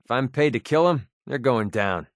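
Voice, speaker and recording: An American man voicing a cynical, wisecracking young mercenary with a soft side in a video game, speaking in a casual, sardonic way. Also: medium pitch, animated, normal speed, no room sound, studio quality, close to an everyday voice. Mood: sardonic, tough. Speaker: video game companion character.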